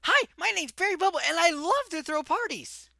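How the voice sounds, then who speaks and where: A woman's voice: very high voice, lively intonation, vocal fry, pushed and bright, dry recording, studio quality, heavily acted. A woman voicing a hyper, cheerful young stallion pony who rattles off long breathless lists. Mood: bubbly. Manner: rapid breathless rambling lists. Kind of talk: fan audiobook voice acting.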